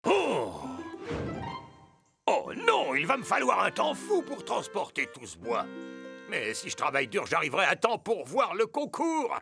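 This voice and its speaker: A man's voice: sits high, huge pitch swings, a little slow, creaky, full character voice. A man voicing an anxious, stubborn, hard-working talking cargo truck in a dubbed children's cartoon, exclaiming and muttering to himself. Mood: fretful, determined. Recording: loud music behind the voice.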